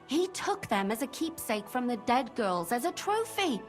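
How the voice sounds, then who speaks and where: An impassioned medieval woman in a video game. Her voice is high and very animated, pressing her point insistently. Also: normal speed, soft background music. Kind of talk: video game cutscene acting.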